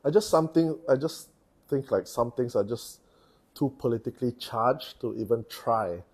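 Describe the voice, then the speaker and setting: A man chatting casually as a podcast guest, halting often with fillers as he speaks. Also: mid-range voice, lively intonation, darkish tone. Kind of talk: casual chat.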